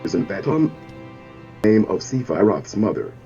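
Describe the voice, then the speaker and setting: A man playing a gruff, hot-headed rebel in a fan dub, blunt and rough-spoken. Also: lowish voice, sing-song, measured pace. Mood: indignant. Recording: dull lo-fi sound under loud music. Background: loud game music.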